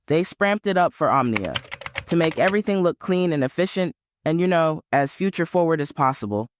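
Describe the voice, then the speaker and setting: A woman's voice: low-pitched, animated, brisk, noisy background. A woman playing an eager, earnest young amateur sleuth and superfan in an audio drama.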